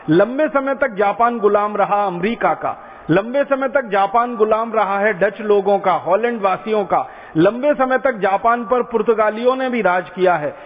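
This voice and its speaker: A man from India giving an impassioned public lecture, driving home each point with repeated parallel phrases. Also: sits high. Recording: tinny phone-like sound in a reverberant hall.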